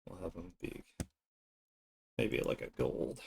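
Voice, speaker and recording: A man streaming his art, thinking aloud in an informal, unhurried way as he works. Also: sits low, gravelly, husky. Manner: informal, unhurried musing to self while drawing. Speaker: streamer and artist. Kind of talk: casual art livestream commentary, thinking aloud.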